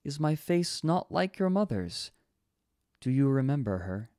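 A man voicing a dignified female alpha wolf who speaks slowly and alluringly, coaxing and hypnotic. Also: medium pitch, big pitch swings, warm tone, no echo, clean studio sound, pitched above the performer's own voice.

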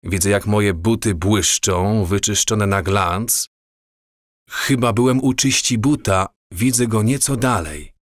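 A man acts out a dreamy wanderer in a radio play, describing things with quiet wonder. His voice is fairly low and very unhurried, in a dry recording. The delivery is wistful and measured. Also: no echo, natural voice. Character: dreamy man wandering awestruck through prewar Warsaw. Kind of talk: audio drama, descriptive monologue.

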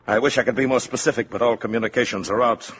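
An actor playing a commanding, stern army general in 1950s radio drama, formal and authoritative. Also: male voice, medium pitch, steady tone, fast talker, fairly bright tone, slightly rough. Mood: grave, official. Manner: authoritative, clipped formal address. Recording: dull, slightly noisy 1950s radio.

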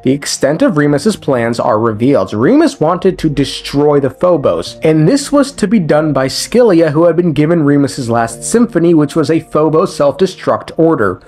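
A man's voice: fairly high pitch, a little mellow. A man giving a casual, conversational recap of a video game story as a lore commentator, with slang and swearing. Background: game music.

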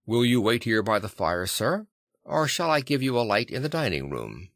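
Man acting an elderly butler, formal, deferential, courteous, animated, audiobook. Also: mid-range voice, moderate pace, vocal fry, dry recording, studio quality. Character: elderly, deferential male butler, polite and dutiful. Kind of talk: audiobook character reading.

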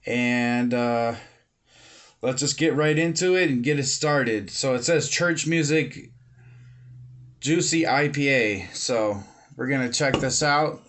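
A man in his thirties casually reviewing a beer at home, relaxed and filling pauses with "um" and "uh", recorded on a webcam or phone at his desk. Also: mid-range voice. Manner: relaxed, many fillers and pauses. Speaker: beer reviewer, channel host. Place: home room with bookshelves, indoors.

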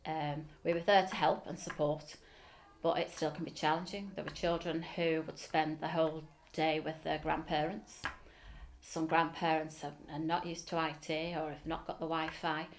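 A woman's voice: low-pitched, level tone, moderate pace. A middle-aged woman with a Liverpool accent, speaking earnestly in an interview in a school office, with frequent ums. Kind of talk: oral history interview. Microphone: seated facing camera.

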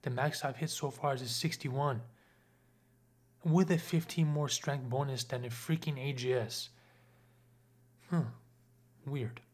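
A man's voice: medium pitch, steady tone, unhurried, long pauses. A young man of Lebanese Armenian background giving casual gameplay commentary at home, chatting to viewers and asking for comments. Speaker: gaming YouTuber.

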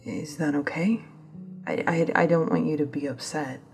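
A woman playing a hesitant, gentle young woman on a date in an intimate ASMR audio roleplay, soft-spoken and stammering. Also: sits low, expressive, moderate pace, soft background music. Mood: hesitant, apologetic.

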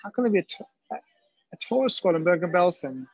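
Middle-aged man with an Israeli accent, husky voice. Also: sits high, fast, dry recording. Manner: animated storyteller, quotes people in raised voice. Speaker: educator presenting a webinar. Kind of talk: storytelling lecture. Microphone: webcam on a video call. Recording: dull lo-fi video call audio.

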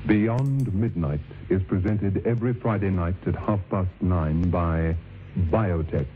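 An actor as a measured announcer reading an old radio sponsor message. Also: male voice, sits low. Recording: tinny phone-like old radio, faint music.

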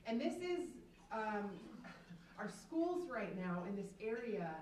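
A woman's voice: on the high side, big pitch swings, takes it slowly, darkish tone, slight background noise. A woman in her thirties from the American South giving an earnest faith testimony as keynote speaker, holding a handheld mic in a large banquet room. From Atlanta, US South. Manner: earnest, emphatic storytelling. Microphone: handheld microphone. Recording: echoey hall, from far off.

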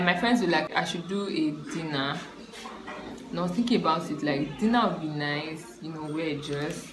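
A young woman, a student vlogger giving a relaxed, rambling life update to her laptop camera in a small room. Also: on the low side, noisy background. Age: young adult. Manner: relaxed, rambling, repeats herself with fillers. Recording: echoey room with music behind.

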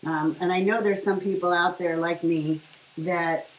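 A middle-aged woman with a fairly low voice rambles casually to a camera set on her kitchen counter, full of ums and asides. The kitchen is echoey, with a faint hiss and food sizzling in a pan. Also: fairly even intonation, measured pace. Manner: rambling and chatty, many fillers. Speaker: vlogger sharing her diet. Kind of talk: casual chat while cooking. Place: home kitchen, indoors. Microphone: camera on the counter at a short distance.

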